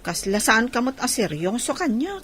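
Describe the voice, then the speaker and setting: A woman's voice: a little high, big pitch swings, normal speed, a bit bright. A woman playing a coy, plaintive young single woman who pines for her boyfriend, in an Ilocano-accented Filipino comedy radio drama. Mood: coy, protesting.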